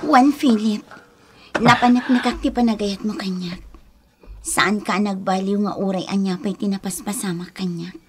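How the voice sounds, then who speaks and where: A woman's voice: medium pitch, fairly even intonation, moderate pace, close to an everyday voice. An actress with an Ilocano accent playing a troubled, bitter young unwed mother in a melodramatic radio drama style. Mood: subdued, wary. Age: young adult. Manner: melodramatic, aggrieved.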